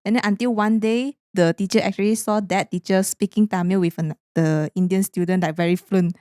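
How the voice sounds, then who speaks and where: A woman's voice: medium pitch, steady tone, a little fast, mellow, smooth, no echo, clean studio sound. A young woman with a Malaysian English accent, co-hosting a podcast in casual chat and scattering "lah" through her sentences. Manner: chatty, drops in Malay and Hokkien particles like "lah". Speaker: podcast co-host.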